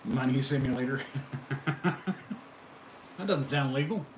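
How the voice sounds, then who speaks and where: A man's voice: mid-range voice, measured pace. Middle-aged male streamer, casual and chatty, amused at chat; roomy echo, faint hum behind. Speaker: livestream host. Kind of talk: casual live chat with viewers. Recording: echoey room, faint background noise.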